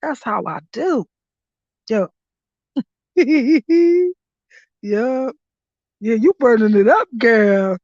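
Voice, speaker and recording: Brief: hoarse woman, rowdy live-stream host, higher voice, dull dry lo-fi audio. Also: lively intonation, drawn-out words, pauses often, no echo. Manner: loud, laughing, profane hype commentary. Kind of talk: casual live-stream chat.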